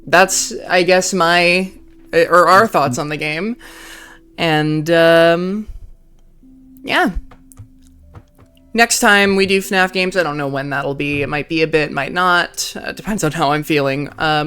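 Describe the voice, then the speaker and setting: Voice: medium pitch, slow, long pauses, pushed and bright, clean tone. A streamer wrapping up a live game session in casual chat, talking freely with lots of "like" and swearing.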